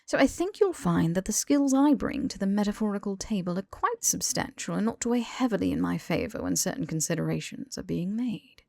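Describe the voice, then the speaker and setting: A smug, posh young man, voiced by a woman in an audiobook, lecturing and teasing with wordy self-importance. The voice has a gravelly fry and sits very close and dry. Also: mid-range voice, expressive, fairly quick, hardly any pauses, darkish tone, dry recording. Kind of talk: audiobook character dialogue.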